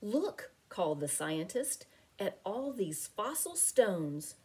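A middle-aged woman reading a picture book aloud to children as a teacher, in an expressive, deliberate, sing-song way. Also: mid-range voice, slightly rough. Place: small home room with window blinds.

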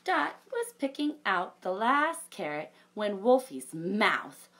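A woman in her thirties reading a picture book aloud to children, expressive, with chatty asides to young listeners. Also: mid-range voice, slow pace. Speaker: library story time presenter.

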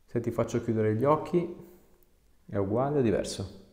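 A man's voice: mid-range voice, moderate pace, darkish tone. A man, an osteopath chatting casually and giving short, reassuring instructions during an exam. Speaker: osteopath treating a patient. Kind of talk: casual clinical chat during a hands-on exam. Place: small indoor clinic room. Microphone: small mic clipped to scrub top. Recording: echoey small treatment room.

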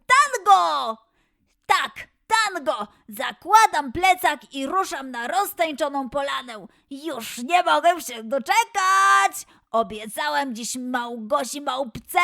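A woman's voice: high-pitched, lively intonation, slow, gravelly, pushed and bright, voice pushed down, exaggerated voice. A woman voicing a cheerful, dance-mad young male lion in a children's audio story, enthusiastic and full of exclamations. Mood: eager.

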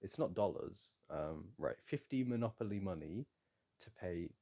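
A man playing a playful boyfriend in an intimate audio roleplay, chatting casually, teasing and coaxing the listener in a gentle, halting way. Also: deep voice, animated. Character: playful, teasing, soothing boyfriend at a board game.

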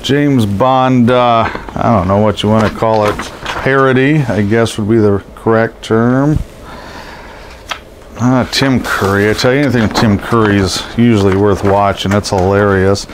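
A man's voice: on the low side, fairly even intonation, a little slow. A middle-aged man with a head cold, sounding scratchy and stuffed up, rambling casually with many ums and uhs. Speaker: collectibles seller. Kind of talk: casual show-and-tell narration. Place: indoors over boxes of posters.

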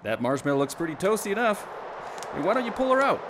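A man's voice: high-pitched, sing-song, fast talker, background noise. An actor playing a folksy, affable man camping in his RV, making genial, neighborly small talk in a radio drama. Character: folksy, affable male RV camper.

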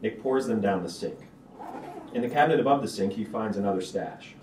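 A man's voice: lowish voice, expressive, a little fast, darkish tone. A man reading screenplay stage directions aloud as narrator at a table read. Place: stage of a small auditorium. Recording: roomy auditorium, distant mic, background noise.

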